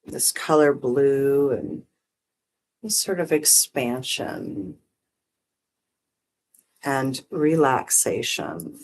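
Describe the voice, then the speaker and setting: A meditation teacher guiding breath and visualization in short, gently permissive phrases with long pauses. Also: medium pitch, animated, creaky, slight background noise, rough recording. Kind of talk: guided meditation, unhurried instruction.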